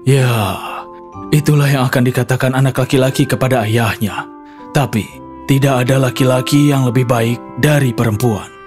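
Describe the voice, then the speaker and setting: A man's voice: medium pitch, fairly even intonation, moderate pace, darkish tone, soft background music, lightly acted. A man voicing a loving, fair-minded father in a children's fairy tale, tender and encouraging.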